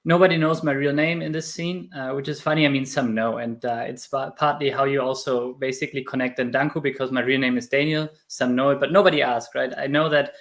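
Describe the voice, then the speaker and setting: A man in his thirties with a German accent, talking casually as an interview guest and telling stories in an animated way. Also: medium pitch, fairly quick, few pauses. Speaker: podcast guest, crypto YouTuber.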